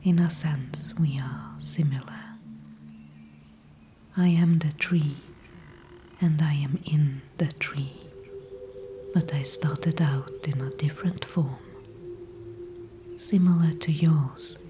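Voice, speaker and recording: A woman voicing an ancient, calm and wise female tree spirit in an ASMR audio roleplay, speaking only in a soft whisper with formal, old-fashioned phrasing. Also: sits low, takes it slowly, long pauses, background noise. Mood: calm, knowing. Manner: soft unvoiced whisper throughout.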